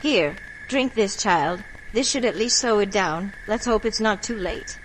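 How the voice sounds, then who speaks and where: A woman's voice: mid-range voice, measured pace, a little hiss or hum, lightly acted, low-quality recording. An actress playing a kindly, knowing folk healer woman, motherly and commanding, in an audio horror drama. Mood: grave, urgent.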